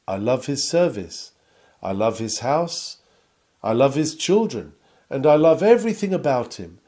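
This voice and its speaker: A man reading an old-fashioned sermon aloud in an earnest, measured, oratorical way. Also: mid-range voice, dark tone. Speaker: sermon reader.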